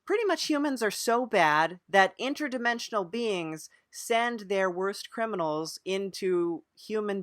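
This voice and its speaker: A woman with a fairly low voice hosts an improvised comedy interview. She speaks haltingly, with a deadpan manner. The recording is very dry, without room sound. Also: no echo. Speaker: podcast host.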